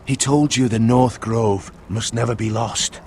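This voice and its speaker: An actor playing a grave, devoted older uncle in a medieval fantasy game, speaking in a solemn, formal, measured way. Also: male voice, mid-range voice, steady tone, darkish tone, a little hiss or hum, natural voice. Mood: solemn, secretive. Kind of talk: dramatic fantasy game acting.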